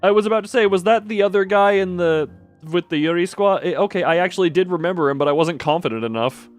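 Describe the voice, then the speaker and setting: A man's voice: high voice, fast talker. Rambling male game streamer over faint music. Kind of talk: casual live game commentary.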